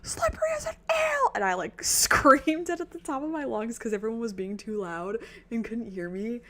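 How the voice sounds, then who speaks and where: A woman streamer chatting casually over a game, hoarse from losing her voice, giggly and rambling. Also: on the high side, moderate pace, pushed and bright. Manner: giggly, rambling and self-deprecating. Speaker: let's play streamer.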